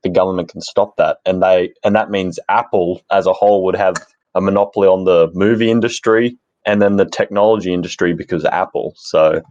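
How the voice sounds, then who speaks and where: Very deep young man, muffled recording. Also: steady tone, a little fast, darkish tone, dry recording. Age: 20s. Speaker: podcast panelist. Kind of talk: casual discussion.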